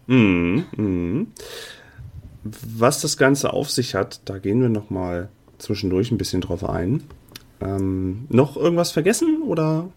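A man's voice: lowish voice, sing-song, unhurried, darkish tone, husky. A man hosting a tabletop game recording as game master, casually prompting players to introduce themselves. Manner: easygoing, prompting, affirming.

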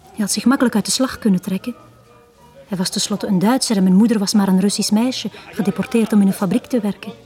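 A woman's voice: mid-range voice, fairly even intonation, fast talker, warm tone, soft background music, natural voice. An actress playing a wistful woman confiding her past in a radio drama, with a Flemish accent and an intimate, near-whispering delivery. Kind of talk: 1980s Dutch-language radio drama acting.

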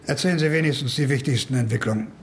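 An actor playing an older professor in a radio drama, blunt and avuncular, with a wry, teasing way of talking. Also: male voice, mid-range voice, very fast, vocal fry, slight background noise, natural voice. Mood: wry, lecturing. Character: older gruff professor, teasing, paternal, fond of a drink. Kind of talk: naturalistic radio drama dialogue.

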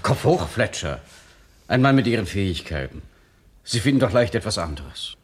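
A male business partner with a very raspy, lowish voice. His lines swing freely in pitch, glib and businesslike, in radio drama style. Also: very animated, moderate pace. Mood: glibly consoling. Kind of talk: German radio crime comedy acting.

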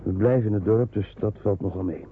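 A man's voice: lowish voice, brisk, natural voice. An actor playing a reassuring, matter-of-fact policeman who secretly helps people hide in a wartime radio drama. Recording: noisy, phone-like old radio drama recording.

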